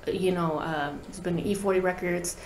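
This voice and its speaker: A woman's voice: on the low side, steady tone, moderate pace. Young woman musician on a podcast, slangy casual talk, echoey room w faint noise. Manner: informal, slangy. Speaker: guest musician, talk box player. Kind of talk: casual interview chat. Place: small indoor podcast studio. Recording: roomy echo, background noise.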